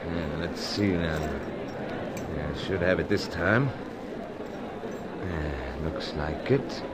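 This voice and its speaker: A man playing a resolute, brisk British secret agent in a radio adventure serial, clipped and deferential in manner. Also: extremely low pitch, very noisy. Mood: muttering, intent.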